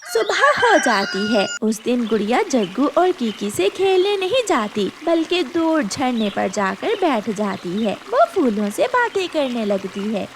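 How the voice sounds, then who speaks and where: A woman's voice: on the high side, sing-song, measured pace, few pauses, background noise. A woman narrating a scripted children's story as the narrator.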